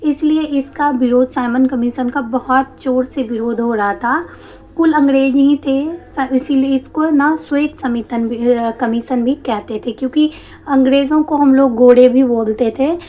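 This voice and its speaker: A woman teacher with a slightly hoarse throat, explaining a history lesson step by step and answering her own questions. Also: sits high, unhurried, dark tone. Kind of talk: exam-prep history lesson.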